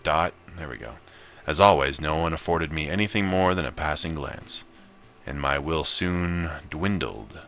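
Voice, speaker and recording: A man's voice: extremely low pitch, pushed and bright. A man reading a visual novel aloud as he plays it, with casual commentary. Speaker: gamer narrating his gameplay.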